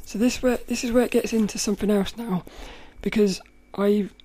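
Rough-voiced woman musing aloud. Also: mid-range voice, steady tone, moderate pace, warm tone, no room sound. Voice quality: rough, cracking voice. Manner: thoughtful, rambling, laughs often. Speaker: podcast host. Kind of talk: casual reflective monologue.

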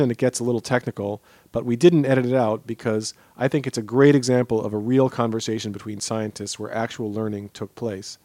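A man's voice: medium pitch, darkish tone, no room sound. A middle-aged man hosting an interview podcast, moving between prepared introduction and conversational questions. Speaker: podcast host interviewing a guest.

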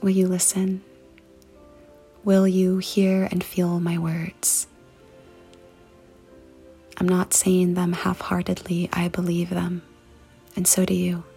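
A woman leading a guided meditation and prayer in a soft, hushed, breathy and creaky voice, speaking deliberately with pauses. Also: lowish voice, completely flat delivery. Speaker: podcast host and meditation guide. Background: music.

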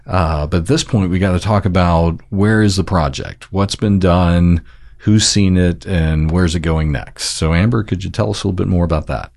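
A man's voice: deep voice, moderate pace, vocal fry, a little mellow, no echo, studio quality. A man hosting an interview podcast in an upbeat way, leading the questions. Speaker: podcast host.

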